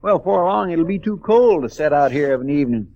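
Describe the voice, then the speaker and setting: An actor playing a folksy, talkative cowboy sidekick with a Western American drawl in an old-time radio western. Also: male voice, fairly high pitch, animated, a little fast, darkish tone, lo-fi sound. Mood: plaintive. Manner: drawling, homespun, rambling.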